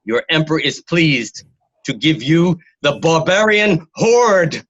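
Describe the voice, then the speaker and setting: A man's voice: fairly high pitch, big pitch swings, slow pace, fairly bright tone, heavily acted. A man acting a pompous Roman arena announcer in an amateur radio play, declaiming grandly. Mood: grandiose, proclaiming. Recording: dull lo-fi home recording.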